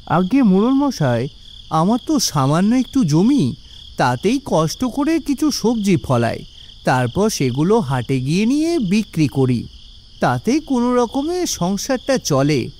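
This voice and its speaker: A man voices a poor village farmer in a cartoon, humble and easily worried. His fairly high, mellow voice rises and falls a lot as he frets. There is faint noise and crickets behind. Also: a little slow. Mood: plaintive. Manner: animated, worried, plaintive. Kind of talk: cartoon voice acting.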